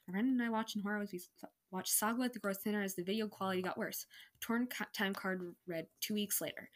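A young adult woman casually reading her own story aloud with self-mocking, incredulous commentary. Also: mid-range voice. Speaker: online reader of own stories.

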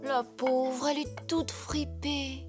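A woman voicing a gracious grown-up lady zebra in a French children's cartoon, polite and expressive. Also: sits high, takes it slowly, music in the background. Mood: sympathetic.

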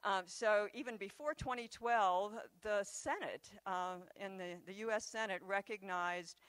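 A woman's voice: medium pitch, fairly bright tone, clear, resonant tone, low-quality recording. Older woman lecturing at a conference podium. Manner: measured, conversational lecturing with occasional fillers. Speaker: conference speaker. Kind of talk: scientific conference talk. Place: large conference hall, speaking at a podium before an audience. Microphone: handheld microphone.

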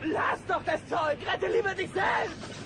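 Flamboyant bear artist, breezy and theatrical, very high-pitched, noisy cassette audio drama for children. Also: male voice, measured pace, shouting, a crowd in the background. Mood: urgent. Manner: theatrical, breezy, self-satisfied. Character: flamboyant, excitable male cartoon bear artist.

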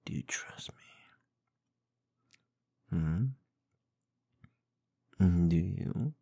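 A man with a low, dark voice murmurs softly into a close microphone for intimate ASMR. His delivery is very slow and teasing, slipping between gravelly tones and breathy whispers. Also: no room sound. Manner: soft, teasing, slow murmuring with small chuckles and hums. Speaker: ASMR roleplay performer. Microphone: close binaural microphone.

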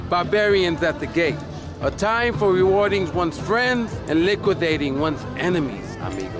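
An actor playing an oily, menacing cocaine baron with a grandiose, fawning manner in a video game. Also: male voice, on the high side, big pitch swings, normal speed, over music. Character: oily, menacing male cocaine baron flattering his ally. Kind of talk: video game cutscene acting.

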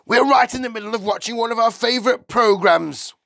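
A man's voice: very high voice, brisk, creaky, sharp tone, dry recording, studio quality, voice pushed up, exaggerated voice. A man voicing a rude, impatient middle-aged father in a storybook, with a curt, dismissive way of snapping out his words. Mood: irritated, curt.

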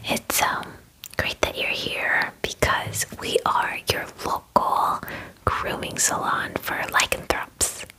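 Young woman whispering asmr roleplay as a friendly werewolf groomer, real slow, crisp and bright, chatty. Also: rough recording. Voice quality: breathy whisper. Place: small indoor room at home. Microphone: close microphone, face near camera.

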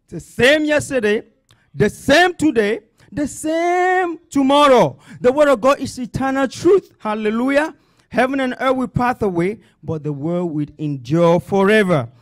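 A man's voice: high voice, no room sound. A male pastor with a non-native English accent, preaching and praying in a fervent, emphatic way with repeated phrases. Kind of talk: sermon and congregational prayer.

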